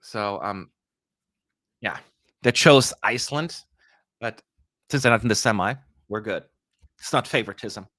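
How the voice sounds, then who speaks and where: A man's voice: lowish voice, a little slow, pauses often, bright, forceful tone. A young man hosting a live stream, chatting casually with playful asides and jokes. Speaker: livestream host.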